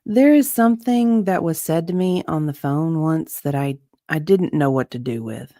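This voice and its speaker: A woman's voice: sits low, moderate pace, dark tone, no echo, clean studio sound. A woman, chatting casually and reading aloud as co-host of an online show. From Southern United States. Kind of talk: casual chat with read-aloud passages.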